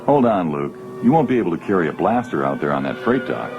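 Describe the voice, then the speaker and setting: An actor playing a blunt, sarcastic starship captain in an audio drama. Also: male voice, deep voice, big pitch swings, quick pace. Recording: dull lo-fi 1980s record with music.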